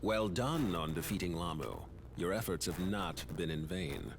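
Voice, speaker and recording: An actor playing a calm, formal male official in a game, speaking in a measured, composed way. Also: deep voice, background noise. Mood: grave.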